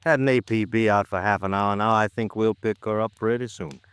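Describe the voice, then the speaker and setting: Guy doing a folksy american cop lieutenant from old radio, low clear voice, short worn-down sentences. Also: fairly even intonation, fairly quick, fairly bright tone, no room sound, natural voice. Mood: dry, matter-of-fact. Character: laconic, folksy male police lieutenant, overworked. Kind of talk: 1950s radio drama acting.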